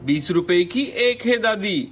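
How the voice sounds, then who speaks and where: A man's voice: high voice, expressive, measured pace, over music. A man playing a village vegetable seller in a cartoon moral story, protesting and placating.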